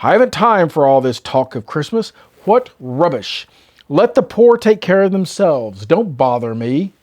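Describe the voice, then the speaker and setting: A man reading a storybook puts on a scornful old miser, croaking and impatient. His lines come out as dismissive barks, pitched a little high for a man. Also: big pitch swings, a little slow, darkish tone. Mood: angry dismissive.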